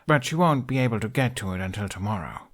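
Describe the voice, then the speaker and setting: A man's voice: fairly low pitch, expressive, fast talker. A man voicing a sensible, cautious young man with a dry, reassuring manner in an audiobook story. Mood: earnest, dry. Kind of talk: audiobook character voice.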